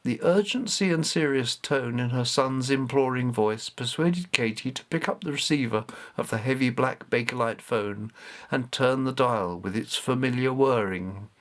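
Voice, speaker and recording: A man reading a children's novel aloud as narrator, measured and expressive. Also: mid-range voice. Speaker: storyteller.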